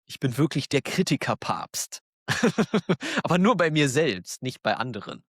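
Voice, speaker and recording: A man with a German accent, hosting a podcast for learners, talking slowly and clearly in a warm, encouraging way and laughing now and then. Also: a little high, sing-song, no echo, studio quality. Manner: encouraging, self-deprecating, laughs often. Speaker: German teacher, podcast host. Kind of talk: slow, clear monologue for learners.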